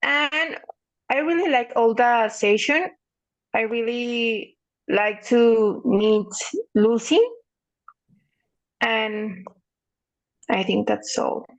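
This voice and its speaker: A woman's voice: a little high, drawn-out words, long pauses, muffled recording. A woman participant sharing what she learned, speaking in a hesitant, polite way. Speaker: webinar participant.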